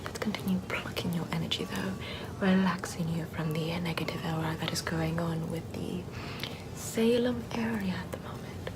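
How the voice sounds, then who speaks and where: A woman playing a sardonic Puritan-era forest witch in a soft, rambling, mostly whispered ASMR roleplay. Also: on the low side, steady tone, moderate pace, very noisy, lightly acted. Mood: soothing. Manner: rambling, conversational, repeats words softly.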